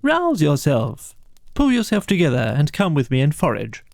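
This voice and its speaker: A bustling water rat from a storybook, voiced by a man, lifted above his natural pitch. He is practical, chummy and full of brisk encouragement, recorded dry and close. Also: wildly swooping pitch, gravelly, a little mellow, no echo, full character voice. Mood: reproachful, rousing. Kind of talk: dramatised storybook reading.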